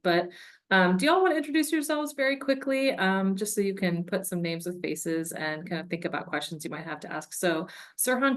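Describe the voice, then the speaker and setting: Woman moderating a panel call. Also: medium pitch. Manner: polite, brisk prompting. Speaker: career coach and moderator.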